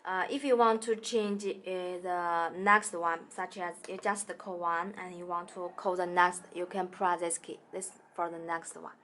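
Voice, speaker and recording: A woman demonstrating a product in a small indoor studio, talking haltingly with many fillers and restarts. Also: lowish voice, fairly even intonation, a bit bright. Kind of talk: hesitant product tutorial.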